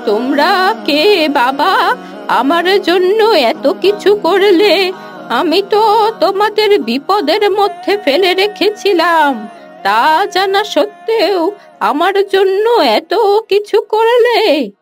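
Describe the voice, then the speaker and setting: Woman voicing a slow, high-pitched spiteful old granny. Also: expressive, soft background music, heavily acted. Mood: frail, grateful. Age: older. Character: spiteful old woman scheming against villagers, later frail.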